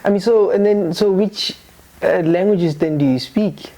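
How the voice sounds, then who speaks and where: A man's voice: high voice, mellow, low-quality recording. A man hosting an interview podcast as a psychotherapist, talking casually with many restarts and fillers. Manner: halting, repeats words, frequent fillers and restarts.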